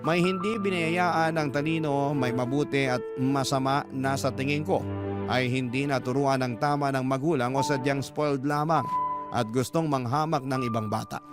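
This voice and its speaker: Man acting as filipino guy looking back on a rough childhood, radio drama narration, earnest n measured, music really loud behind. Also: medium pitch, close to an everyday voice. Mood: reflective. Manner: measured, reflective storytelling. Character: earnest male letter writer recalling his hard childhood. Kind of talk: scripted first-person radio drama narration. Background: loud instrumental music.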